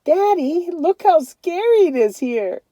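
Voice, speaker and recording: Man putting on a high, scared little kid. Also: big pitch swings, unhurried, dark tone, no echo, full character voice. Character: small, frightened, whiny young child.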